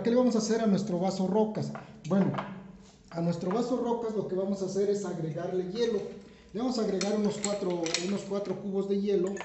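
A man explains a drink recipe step by step to viewers, unhurried, with many fillers and restarts. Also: high-pitched, background noise. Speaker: home bartender, channel host. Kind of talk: step-by-step cocktail tutorial. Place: home kitchen counter, indoors. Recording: roomy, dull lo-fi camera audio.

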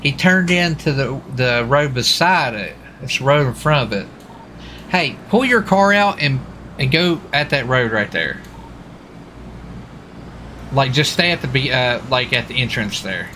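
A man's voice: mid-range voice, lively intonation, a little slow, bright, forceful tone, a little hiss or hum. A man in casual roleplay game chat, talking informally with folksy exclamations. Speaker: gamer roleplaying a US marshal on stakeout.

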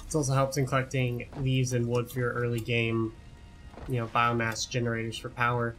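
A man's voice: mid-range voice, monotone, brisk, fairly bright tone, soft background music. A young man giving casual tutorial commentary over gameplay, chatty, often repeating words and asking "right?". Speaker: gaming YouTuber.

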